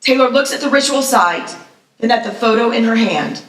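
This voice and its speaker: Fairly high-voiced woman narrator, echoey. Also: moderate pace, fairly bright tone. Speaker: stage-direction narrator. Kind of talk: scripted narration at a live table read. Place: live festival event, echoey venue. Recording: echoey room, distant mic.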